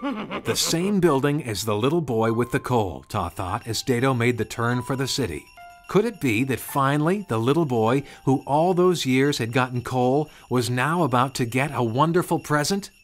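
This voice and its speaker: A man's voice: medium pitch, lively intonation, normal speed. Man narrating a storybook tale over music. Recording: music behind the voice. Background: background music.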